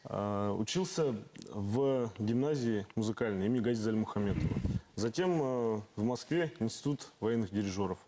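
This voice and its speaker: A man's voice: on the low side, lively intonation, moderate pace, warm tone, slight background noise. A man from Bashkortostan, a military conductor, briefly stating where he studied. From Bashkortostan, Karmaskaly district. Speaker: military conductor, band member.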